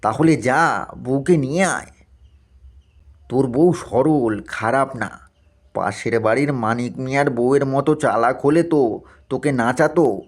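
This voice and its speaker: Man playing a slow-talking, teasing village pal. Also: mid-range voice, natural voice. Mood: frank advice. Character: teasing, good-natured young village friend.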